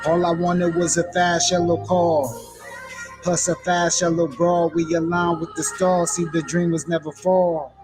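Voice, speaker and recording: A man rapping in a steady, low-key flow, hardly moving his pitch. A loud hip hop beat plays under his voice. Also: measured pace, over music. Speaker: rapper.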